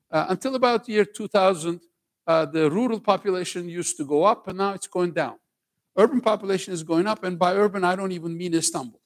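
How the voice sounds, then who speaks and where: An older man with a light Turkish accent speaks from a large auditorium stage in a somewhat high voice. He lectures in a loose, joking way and keeps tagging his points with "okay?". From Turkey. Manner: conversational, keeps asking "okay?", jokes with the crowd. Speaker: professor giving a talk to students. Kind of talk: lively lecture to a school audience.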